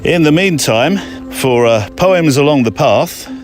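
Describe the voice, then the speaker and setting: A man's voice: a little high, animated, unhurried, music in the background. A man hosting a podcast in a reflective, chatty way, breaking into his own sentences as he talks or reads aloud. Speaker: podcast host. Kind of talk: conversational hosting and reading listener letters aloud.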